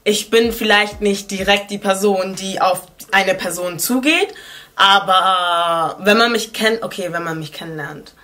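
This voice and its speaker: Casting: woman in her twenties, lifestyle vlogger, bright and chatty, playful asides, talking to camera from her living room. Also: medium pitch, measured pace. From Ghana. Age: 20s. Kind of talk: casual chat to camera. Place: living room at home, indoors.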